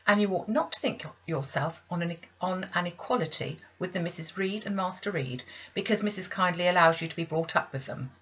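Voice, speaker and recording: A woman's voice: on the low side, brisk, few pauses, fairly bright tone, breathy edge, highs cut off. A woman voicing a stern, pious lady's maid in a classic novel, sharply reproachful and moralising. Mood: disapproving.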